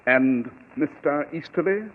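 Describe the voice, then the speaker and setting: Masterful British detective, animated and precise. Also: male voice, a little high, unhurried. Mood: brisk, authoritative. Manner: clipped, precise, commanding. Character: brisk, masterful male detective, coolly analytical. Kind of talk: old-time radio drama acting. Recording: muffled, reverberant old radio recording.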